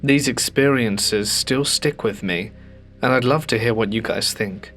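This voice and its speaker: A man hosting a ghost story channel, reading submitted stories aloud in a calm, measured way with slowed dramatic pauses. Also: mid-range voice, faint music behind.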